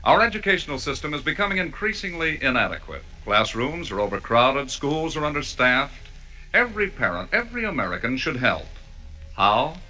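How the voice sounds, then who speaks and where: Male 1940s radio announcer, polished and emphatic, very sharp tone, animated delivery, vintage broadcast sound. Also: mid-range voice, a little fast, rough recording.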